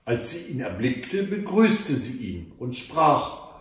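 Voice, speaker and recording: A man in his fifties reading a fairy tale aloud in a measured, dramatic way with deliberate pauses. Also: mid-range voice, big pitch swings. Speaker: storyteller reading fairy tales. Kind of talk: expressive read-aloud fairy tale narration. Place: indoors, book-lined room at home. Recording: echoey room, mic at a distance.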